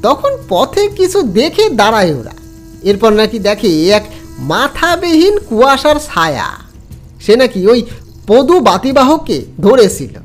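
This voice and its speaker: A man voicing a gossiping village man in a horror audio story, animated and full of questions. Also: extremely high pitch, unhurried, soft background music, heavily acted. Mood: gossipy disbelief.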